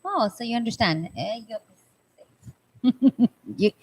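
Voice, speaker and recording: A young woman from Canada, co-hosting a casual talk show and teasing her co-host in an animated, argumentative way. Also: on the high side, a little slow, breathy edge. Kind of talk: casual chat.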